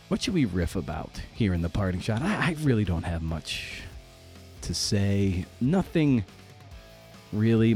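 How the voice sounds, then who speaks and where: A man's voice: lowish voice, warm tone. A man hosting a podcast in a casual, conversational style, with an informal, wry and self-deprecating manner. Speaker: podcast host and interviewer.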